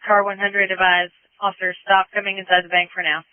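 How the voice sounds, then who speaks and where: A dispatcher with a high, clear voice speaks over police radio. The delivery is clipped and procedural, relaying short messages with very little rise or fall. The narrowband transmission has no room sound. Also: monotone, fast, no room sound.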